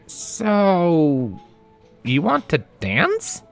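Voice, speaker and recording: A woman playing a bookish young stallion in an audio story, nervous and socially awkward. The pitch leaps wildly as the hesitant lines come out, on the lower side for a woman, over faint music. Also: wildly swooping pitch, extremely slow, heavily acted. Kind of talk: dramatised fan fiction audio reading.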